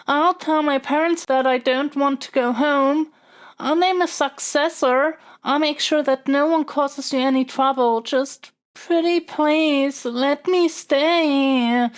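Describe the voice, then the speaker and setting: Woman acting a slow-spoken, theatrical scheming young princess. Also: sits high. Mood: desperate begging. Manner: theatrical, formal phrasing with halting pauses. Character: stubborn, scheming captive young princess, melodramatic and wheedling. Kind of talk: scripted solo audio roleplay.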